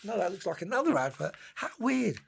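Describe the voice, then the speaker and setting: A man's voice: sits high, very animated, fairly quick, vocal fry, breathy edge. A man giving a casual, unscripted review commentary, musing and rambling as he goes and often trailing off. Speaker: book and comic reviewer.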